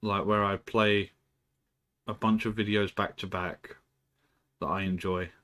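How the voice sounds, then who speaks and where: A man streaming from home, chatting casually and rambling, who often clears his throat and swears. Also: lowish voice, little pitch movement, measured pace, a bit bright. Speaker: live streamer. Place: indoors at home.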